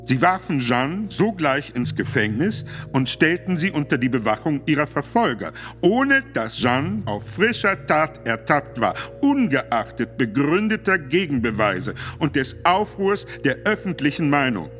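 An actor playing a solemn, authoritative medieval pope who formally declaims a decree in a measured, emphatic way for a radio play. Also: male voice, a little high, big pitch swings, fairly bright tone. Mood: stern, indignant. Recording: studio radio drama with music behind.

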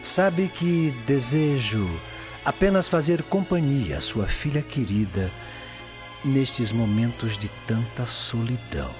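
Slow, unctuous Roman prefect. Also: male voice, mid-range voice, animated. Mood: smoothly amorous. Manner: unctuous, courtly, formal. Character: smooth, insinuating Roman prefect courting a married woman. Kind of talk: Brazilian radio drama acting. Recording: muffled radio drama with music.

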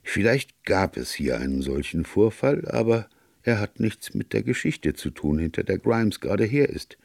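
A man voicing a calm, earnest priest detective who reasons at length in an audiobook. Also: on the low side, moderate pace, gravelly, dry recording, clean studio sound, pitched above the performer's own voice, full character voice. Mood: calm, musing. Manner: even-tempered, sincere, discursive. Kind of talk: audiobook character dialogue.

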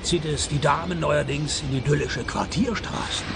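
An actor playing a dry, sardonic private detective in a radio play, deadpan and wry as he narrates and talks. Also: fairly high pitch, moderate pace, creaky, very noisy.

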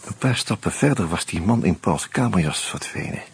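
An actor playing an uneasy murder suspect in a radio play, musing and hesitant, with a wry streak. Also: male voice, low-pitched, expressive, moderate pace, gravelly, slightly rough, dry recording, exaggerated voice. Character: uneasy male murder suspect narrating his own investigation. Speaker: actor and first-person narrator. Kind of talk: Dutch radio play, reflective narration and dialogue.

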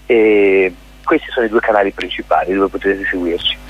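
Male playwright, radio interview guest. Rough, husky tone, explanatory delivery with hesitant pauses, slightly dull sound. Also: sits low, steady tone.

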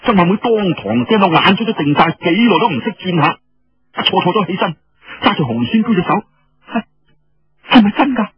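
A man narrating a dramatic traditional story in an expressive, emphatic way, with a Cantonese accent. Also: sits high, a little fast, slightly rough. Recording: dull lo-fi radio sound with room echo.

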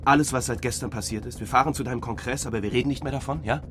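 A man's voice: medium pitch, racing, background noise, lightly acted. A man playing a weary companion in a radio drama, coaxing and reproachful. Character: weary male companion pleading to forget the night.

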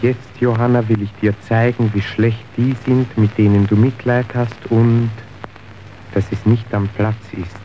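A man's voice: fairly low pitch, little pitch movement, a little slow, muffled recording, slight background noise, lightly acted. An actor playing a worldly, contemptuous henchman in a radio drama, lecturing with scorn. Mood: knowing, didactic. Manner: didactic, scornful. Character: worldly, contemptuous henchman acting as cynical guide.

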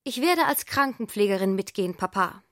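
An actress playing an idealistic, headstrong young daughter who wants to be a nurse, speaking earnestly and insistently in a radio drama. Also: female voice, medium pitch, expressive, fairly quick, a bit bright, no room sound, clean studio sound, natural voice. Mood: solemn enthusiasm. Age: young adult. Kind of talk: German radio drama acting.

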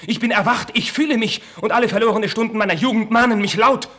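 Fervent young prince, slightly husky. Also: male voice, sits high, fast, a bit bright. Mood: anguished, urgent. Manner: declamatory, fervent, theatrical stage diction. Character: impassioned young Spanish crown prince, proud, idealistic, wounded son. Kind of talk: 1950s German radio drama acting.

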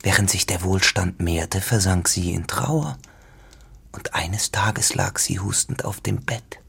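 An actor playing a lonely, accusing farmer in a literary radio drama, with a husky voice. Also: male voice, low-pitched, slow pace, fairly bright tone, heavily acted. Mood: accusing, grave. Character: lonely, accusing, menacing male farmer, a spurned rival.

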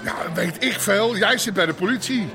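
A domineering middle-aged club owner from the underworld in a radio play. His voice is notably high for a man. He orders people about and swears freely over prominent music. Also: normal speed, a crowd in the background. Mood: irritated. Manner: gruff, bossy, swearing. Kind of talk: naturalistic radio drama acting.

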